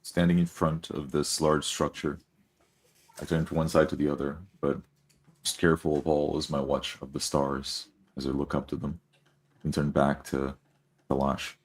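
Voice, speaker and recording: A man's voice: very deep voice, level tone, moderate pace. A man playing a tabletop roleplay, narrating his character's actions in the first person.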